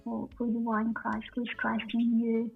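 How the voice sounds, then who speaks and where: A woman from Bulgaria giving a personal testimony as an interview guest, speaking haltingly with repeated words. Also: on the high side, monotone, gravelly. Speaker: guest sharing her faith testimony. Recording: muffled distant lo-fi call, faint music.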